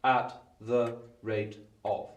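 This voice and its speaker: An actor playing a brisk, exacting Victorian London lawyer in an audio drama, questioning in a clipped, commanding, legalistic way. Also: male voice, mid-range voice, little pitch movement, echoey room, far from the mic. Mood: brisk, probing.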